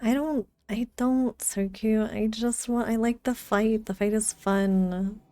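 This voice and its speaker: A woman's voice: on the high side, steady tone, slow, warm tone, dry recording. A woman giving casual gameplay commentary as a streamer, musing aloud in short reactions. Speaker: game streamer.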